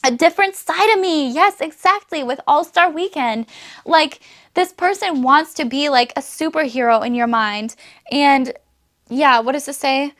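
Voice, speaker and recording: A woman's voice: high-pitched, a little slow, fairly bright tone. A woman giving a casual, chatty tarot reading, losing her voice a little, with frequent fillers and laughs. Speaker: tarot reader.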